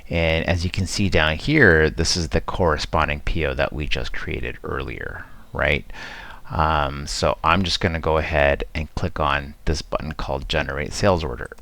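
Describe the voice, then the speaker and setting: A man giving an informal, explanatory screen-share tutorial as a software demo presenter, talking conversationally into a close mic. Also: rumbling low, steady tone, moderate pace, gravelly. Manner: explanatory, conversational, checks in with "right?".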